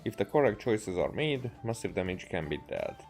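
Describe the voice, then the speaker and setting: A man doing casual gameplay commentary and reading game text aloud haltingly, with game music behind him. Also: fairly low pitch, big pitch swings, darkish tone. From Hungary. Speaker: game streamer.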